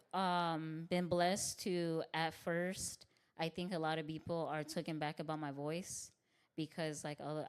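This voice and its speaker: A young adult woman comedian from Tucson with a deep, bassy voice, talking candidly in a podcast interview with frequent fillers and easy laughs. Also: smooth. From Tucson, Arizona. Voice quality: deep voice with bass. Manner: candid, laughs often, frequent fillers like "like" and "you know what I mean". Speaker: stand-up comedian, podcast guest. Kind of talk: casual interview conversation.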